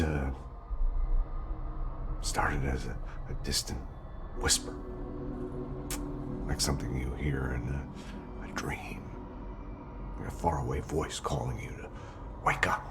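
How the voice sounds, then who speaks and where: Unhinged agency boss in a video game, super deep slow voice, haunted murmuring, music behind him. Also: male voice, full character voice. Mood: dazed, haunted. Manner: halting, haunted, breathy murmuring. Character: unhinged, paranoid male agency director, brooding and obsessive. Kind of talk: dramatic video game monologue.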